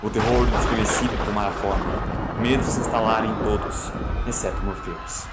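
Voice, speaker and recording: Solemn low-voiced Brazilian man narrating horror. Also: fairly even intonation, normal speed. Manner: solemn, theatrical. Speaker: audiobook narrator. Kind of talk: dramatic scripted horror narration. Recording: loud music under the voice. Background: loud music.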